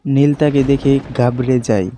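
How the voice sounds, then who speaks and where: A man's voice: mid-range voice, steady tone, normal speed, warm tone, a little hiss or hum. A man narrating a scripted horror story for a cartoon.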